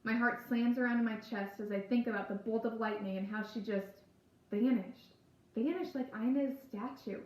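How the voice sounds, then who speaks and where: A woman's voice: fairly high pitch, fairly even intonation, moderate pace. A woman reading a first-person novel aloud as narrator. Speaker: book reader. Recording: echoey room.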